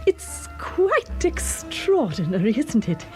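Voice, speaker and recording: A woman playing a gentle, fretful British wife in a radio drama, genteel and apologetic in manner. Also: a little high, very animated, slow pace, faint music behind. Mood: wistful, uneasy. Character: gentle, fretful middle-class wife with hidden unease.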